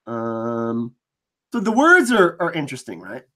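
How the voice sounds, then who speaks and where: A man hosting a fan channel, breaking down trailers in casual commentary. He is an excitable, enthusiastic fan, and his voice is slightly scratchy from allergies. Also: mid-range voice, unhurried.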